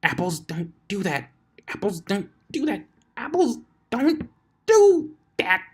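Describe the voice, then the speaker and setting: A man's voice: sits high, sing-song, slow, husky, voice pushed up, exaggerated voice. A man voicing a frustrated, dejected young female unicorn wizard in a story reading, muttering and pleading as she repeats herself. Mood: exasperated.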